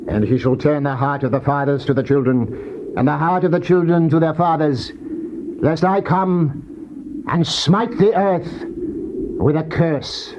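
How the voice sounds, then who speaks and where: Man acting stern, high-voiced God pronouncing judgment. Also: measured pace, close to an everyday voice. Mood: solemn, exalted. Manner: declamatory, solemn, rhetorical. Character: stern God of Israel speaking judgment through his prophet. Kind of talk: dramatized scripture reading, classical stage delivery. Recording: muffled, noisy old cassette tape.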